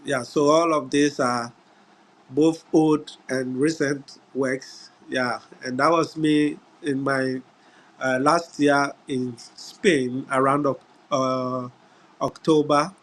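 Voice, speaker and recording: A middle-aged man from Ghana with a Ghanaian English accent, giving an unhurried webinar talk over a video call, often pausing on "uh" and "yeah". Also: medium pitch, steady tone, fairly bright tone, poor audio. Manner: unhurried, repeats words, frequent "uh" and "yeah". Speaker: guest speaker. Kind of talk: webinar presentation.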